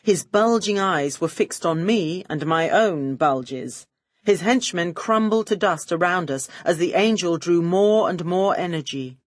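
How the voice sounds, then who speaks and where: A woman's voice: on the low side, lively intonation, a little slow, no room sound, clean studio sound. A woman reading a first-person detective story as an audiobook narrator, with a wry, arch and poised delivery.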